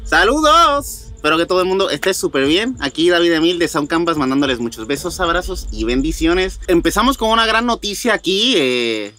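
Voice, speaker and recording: A man's voice: fairly high pitch, big pitch swings, a little fast, few pauses, pushed and bright, soft background music. A man with a Puerto Rican accent, presenting and reading news as a podcast co-host. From Puerto Rico.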